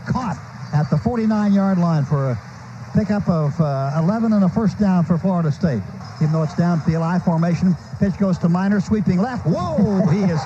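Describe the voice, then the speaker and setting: An American man doing live radio play-by-play of a football game, speaking in clipped running phrases that swell on big plays. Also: high-pitched. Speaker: play-by-play announcer. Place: stadium broadcast booth. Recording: muffled noisy old radio broadcast.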